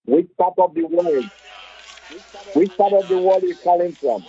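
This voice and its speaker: A man's voice: high-pitched, moderate pace, warm tone. A man on a phone call asking questions in an insistent, sceptical manner. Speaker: call recipient. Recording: muffled phone call with music behind.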